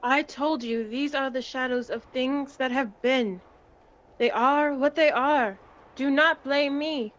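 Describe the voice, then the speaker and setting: A teenage girl playing a stern, questioning ghostly spirit guide in a radio play, in a measured way. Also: high-pitched, fairly bright tone, muffled recording. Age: teens. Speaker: student actor.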